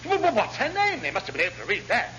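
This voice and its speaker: A man's voice: sits high, wildly swooping pitch, quick pace, pushed and bright, muffled recording, full character voice. A man acting a pompous, exasperated middle-aged English naval officer in a classic British radio comedy, spluttering with indignation. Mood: flustered disbelief. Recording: noisy old radio recording.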